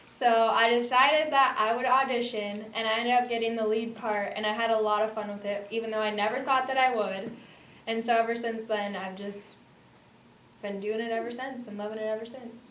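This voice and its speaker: A teenage girl gives casual interview answers as a student actor. Her voice sits a little high. The sound is echoey and distant from the microphone, with faint noise behind it. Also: steady tone, moderate pace, fairly bright tone.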